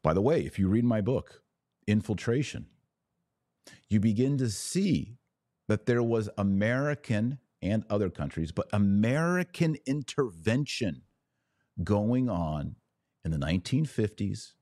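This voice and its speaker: A man hosting a podcast, giving opinionated religious commentary in an emphatic, declamatory manner with pauses between short phrases. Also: fairly low pitch, very animated, dark tone, no echo, clean studio sound.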